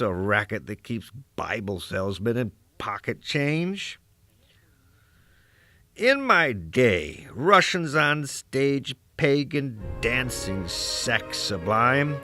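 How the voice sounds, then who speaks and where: A man's voice: mid-range voice, bright, forceful tone, no echo. A man voicing an aging, cantankerous, proud American poet in a rambling dramatic soliloquy, with a crusty, musing, oratorical delivery and salty asides. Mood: wistful, reverent. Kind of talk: dramatic first-person literary soliloquy.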